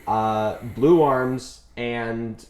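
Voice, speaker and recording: A man co-hosting a casual film discussion, talking in a halting, emphatic and opinionated way. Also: mid-range voice. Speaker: podcast co-host.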